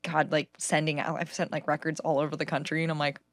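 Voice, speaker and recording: A woman from California with a low alto voice, chatting casually as a guest and laughing often. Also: level tone, fast, no echo. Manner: chatty, many "like"s and frequent laughs. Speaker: singer-songwriter guest. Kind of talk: casual interview chat.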